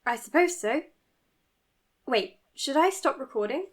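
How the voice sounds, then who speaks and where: A self-doubting young doctor in an audio drama. Her voice is high, and she speaks earnestly and hesitantly, with real care. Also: lively intonation, unhurried. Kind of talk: naturalistic audio drama acting.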